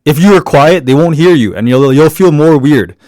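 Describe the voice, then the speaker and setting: A man talks in a deep, loud voice that comes from the diaphragm and sits a little higher than usual for a man. He gives casual, encouraging advice, full of fillers and restarts. The recording is dry and close, with no room sound. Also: lively intonation, normal speed, dry recording. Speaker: podcast speaker giving networking advice. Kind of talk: motivational talk.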